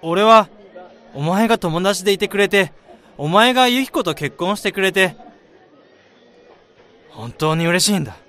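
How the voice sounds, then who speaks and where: Man acting a bright-voiced, earnest, loyal friend. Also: high voice, animated, normal speed, long pauses. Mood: heartfelt, pleading. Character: kind-hearted young married man, loyal forgiving best friend. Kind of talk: Japanese radio drama acting.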